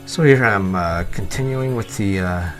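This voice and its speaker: A man with a very low voice narrates a digital art demonstration. He speaks off the cuff, halting and searching for words as he thinks aloud. Guitar music plays clearly behind his voice. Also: a bit bright. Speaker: digital art tutorial presenter. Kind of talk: unscripted software tutorial voice-over.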